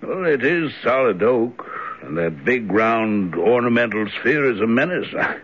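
A man's voice: medium pitch, animated, unhurried, slightly rough. An actor playing an earnest, reflective older reverend and father in an old-time radio drama. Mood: reflective, shaken. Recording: dull lo-fi old radio recording.

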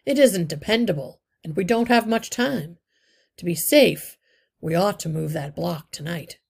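A wise old rat leader explains the plan patiently and step by step, in a woman's read-aloud voice. The tone is husky with some gravel. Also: fairly low pitch, expressive, a little mellow, exaggerated voice. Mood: explaining. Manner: measured, explanatory.

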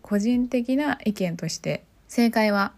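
A woman's voice: fairly high pitch, lively intonation, takes it slowly, a little mellow. A woman hosting a podcast on her own, chatting casually and often putting questions to her listeners. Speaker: podcast host. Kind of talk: casual solo podcast chat.

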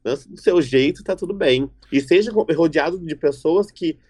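A young man co-hosting a casual chat, talking in an expressive, chatty way full of slangy fillers. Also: fairly high pitch, normal speed, husky.

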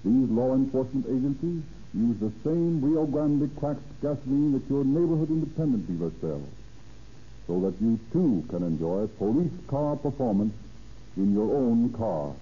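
A man's voice: medium pitch, animated, normal speed, dark tone, husky, lo-fi sound. A man announcing a sponsor message in 1930s radio style, formal and emphatic, on an old radio recording. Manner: formal, emphatic scripted delivery.

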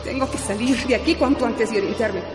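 An actress playing a drugged, anxious noble Inca princess in a radio drama. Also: female voice, a little high, fairly quick, roomy sound, long reverb tail, music in the background, close to an everyday voice. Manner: anxious inner monologue.

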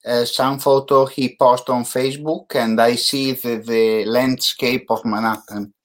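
Host, slow halting english, lots of uhs. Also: male voice, medium pitch, steady tone, fairly bright tone. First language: Italian. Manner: halting, hesitant English with many fillers. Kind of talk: casual interview.

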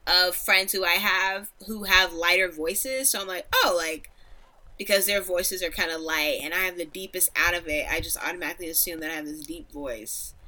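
A young woman with a deep voice that still sounds bright and forceful, talking through ideas at an easy, thoughtful pace on a podcast. She breaks into loud laughs between points. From Nigerian background. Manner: reflective, animated laughter. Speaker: podcast co-host. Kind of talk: casual chat.

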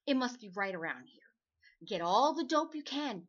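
A woman playing a brisk, pushy magazine editor in an old-time radio drama, talking in bossy slang. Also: fairly high pitch, very animated, muffled recording. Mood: eager. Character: brisk, pushy magazine editor hunting a human-interest story.

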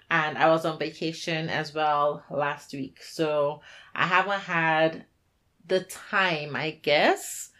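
A woman with a slightly low voice hosts a podcast. Her delivery is informal and chatty, in a conversational style that talks directly to the listener or guest. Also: a bit bright. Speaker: podcast host. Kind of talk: casual conversational podcast hosting.

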